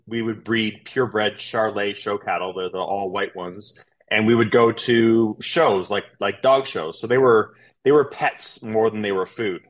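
Chatty man, low voice. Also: fairly bright tone. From Canada. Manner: rambling, with frequent fillers and restarts. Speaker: podcast guest. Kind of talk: casual interview storytelling.

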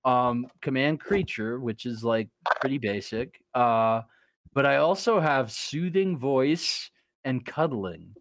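A man's voice: mid-range voice, expressive, slow. A man playing a tabletop game on a podcast, chatting casually and riffing with playful enthusiasm.

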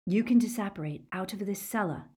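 A woman's voice: medium pitch, fairly quick, mellow, slightly rough, pitched above the performer's own voice. A woman voicing a desperate, urgent teenage boy hero in an audiobook.

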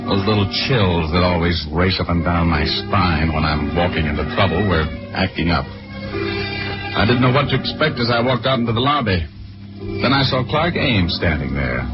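A man's voice: low-pitched, close to an everyday voice. An actor playing a cocky, wry American private detective who narrates his own case in 1940s radio style. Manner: breezy, flippant, wry. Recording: dull 1940s radio recording with music.

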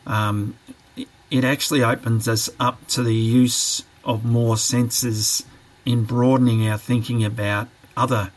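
Man, podcast guest, reflective, hesitant, thoughtful. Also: mid-range voice. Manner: reflective, hesitant, many fillers and restarts. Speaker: podcast guest, training manager. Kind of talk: thoughtful interview answers.

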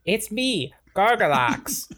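A man voicing a goofy, excitable alien father of many children in a high, strained put-on voice. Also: slow. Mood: excited. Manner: over-the-top, excitable.

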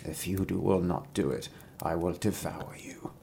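Sly storybook wolf played by a man, wheedling and threatening, pitch swinging widely. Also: very animated, unhurried, mellow. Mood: menacing. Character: sly menacing storybook wolf, faking a sweet mother goat.

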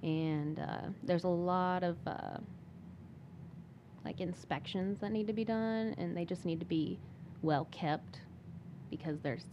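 A woman's voice: fairly low pitch, slow pace, highs cut off, noisy background. A young woman speaking casually and personally as a guest in an interview.

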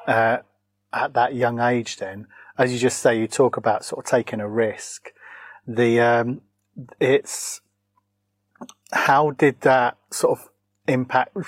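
An interviewer asking rambling, halting questions full of fillers in a casual chat. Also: medium pitch, no room sound. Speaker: interviewer, podcast host.

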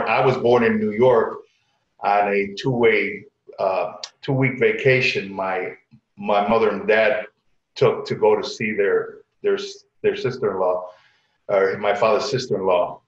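Middle aged guy from connecticut telling his story, repeats words a lot, echoey muffled audio. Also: fairly low pitch. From Connecticut, United States. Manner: halting, repeats words as he goes. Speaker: podcast guest. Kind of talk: casual personal storytelling. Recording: echoey room, dull lo-fi sound.

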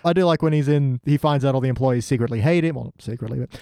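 A man with a mellow, mid-pitched voice talks as a guest on a casual review podcast. His delivery is wry and deadpan, recorded close and dry. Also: racing, creaky, no echo. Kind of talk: casual comedy review chat.

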